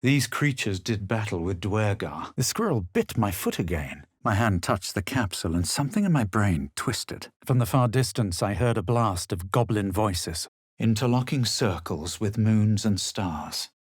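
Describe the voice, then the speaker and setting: An actor playing a smug, self-assured male adventurer in a fantasy video game, measured and dryly dramatic. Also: on the low side, animated, slightly rough, dry recording, studio quality. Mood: grave, wary. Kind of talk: video game character lines and first-person narration.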